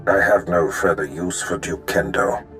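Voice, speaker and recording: An actor playing a calculating, imperious Sith master in a video game, speaking in a measured, formal way. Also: male voice, deep voice, fairly even intonation, a bit bright, soft background music. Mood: cold, commanding. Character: menacing Sith master, calculating, imperious older male villain. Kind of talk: cinematic game voice acting.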